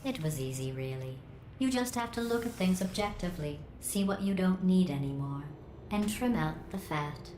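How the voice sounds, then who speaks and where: An actress voicing a cold, sarcastic female facility AI in a video game, with a deadpan, passive-aggressive delivery. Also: fairly low pitch, moderate pace, a little hiss or hum, close to an everyday voice. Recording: echoey game audio with music.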